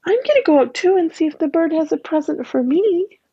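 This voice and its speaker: A woman's voice: very high-pitched, animated, brisk, mellow, dull audio, pitched above the performer's own voice. A woman voicing a sweet, innocent little girl who speaks in an eager, childlike way.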